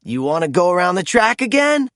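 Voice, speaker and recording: A man's voice: high-pitched, sing-song, brisk, a bit bright, no echo, clean studio sound. A man voicing a friendly, confident young hero monster truck in a children's storybook, eager and encouraging. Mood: happy, friendly.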